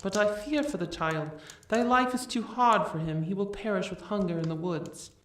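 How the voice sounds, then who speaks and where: A woman acts a worried old princess and grandmother in an audio drama. She pleads in archaic phrases at a middling pitch. The sound is roomy and a little distant from the microphone. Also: moderate pace, slight background noise, close to an everyday voice. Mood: fearful. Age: older. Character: anxious, frail aged princess, protective grandmother. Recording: echoey, slightly distant from the mic.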